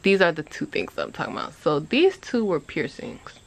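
Gravelly-voiced woman vlogging on a porch. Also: moderate pace. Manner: animated, chatty, rambling with lively pitch jumps. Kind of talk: casual chat to camera. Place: outdoors on a house porch. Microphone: phone camera at arm's length.

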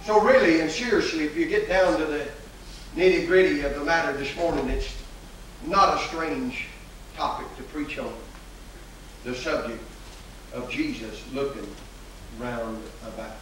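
An older pastor preaches in a folksy, emphatic way, circling back to the same phrase and slipping in filler uhs. His voice sits fairly high. It rings through a large, very echoey sanctuary, caught from a distance on hissy old videotape. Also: a little slow, long pauses, a bit bright. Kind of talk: sermon. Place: large church sanctuary at a pulpit. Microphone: pulpit microphone, far camera. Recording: echoey church hall from far off, hissy 1980s video.

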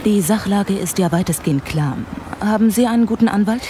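An actress playing a composed, teasing police investigator in a German audio drama, speaking in an unhurried, matter-of-fact way. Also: female voice, medium pitch, background noise, natural voice. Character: composed, teasing female Scotland Yard investigator.

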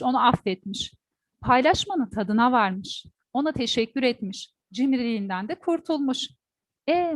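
High-voiced woman telling a folk tale. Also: no echo. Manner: expressive, asks the listeners questions. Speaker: storyteller; teacher. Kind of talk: oral folk-tale storytelling to an audience.

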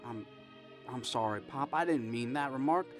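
An actor playing an eager, restless young small-town man in a radio drama, earnest and halting. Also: medium pitch, faint music behind, lightly acted. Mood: earnest, frustrated. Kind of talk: old-time radio drama acting.

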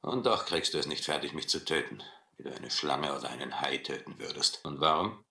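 An actor playing a brutal, proud, cynical sea captain who taunts and philosophizes in a German radio adventure play. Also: male voice, extremely low pitch, expressive, creaky, bright, forceful tone, reverberant, exaggerated voice. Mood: mocking, taunting. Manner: mocking, slow deliberate taunting.

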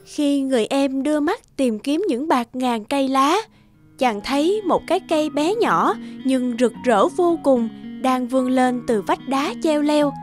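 A woman's voice: sits high, expressive, a little slow, faint music behind. A woman narrator telling a fairy tale in scripted storytelling style.

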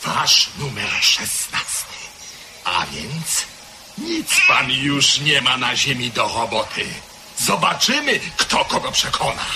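Radio drama villain: a mocking communist commissar, wild swoops in pitch, slow and deliberate, music under him. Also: male voice, huge pitch swings, noisy background, exaggerated voice. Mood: mocking menace. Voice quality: sneering, theatrical. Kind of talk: 1970s radio drama acting.

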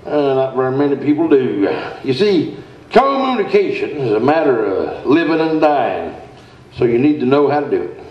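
A man's voice: medium pitch, sing-song, normal speed, close to an everyday voice. A man playing an earnest, self-important small-town speech teacher in a comic radio sketch on stage. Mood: lecturing. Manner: folksy, pompous, instructing. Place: theatre stage, indoors. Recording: dull, roomy, distant stage sound.

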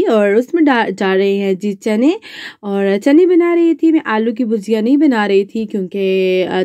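A woman's voice: fairly high pitch, dark tone, no echo. A woman, chatting politely through a home cooking video as a mother and vlogger. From Pakistan. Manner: chatty, polite with frequent "janab" and "ji". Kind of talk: casual cooking voice-over.

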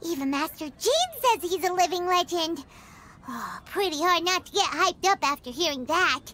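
A woman voicing a tiny, excitable childlike fairy companion in a video game, with a squeaky, chirpy delivery. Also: moderate pace, a little hiss or hum. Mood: excited, defensive. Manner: chirpy, excitable, refers to herself in third person.

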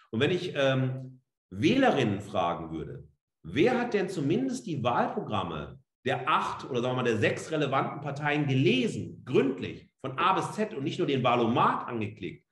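Animated man lecturing in an echoey room. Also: medium pitch. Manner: emphatic and rhetorical, with repeated phrases and pointed pauses. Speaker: professor giving a lecture. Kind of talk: free-spoken academic lecture.

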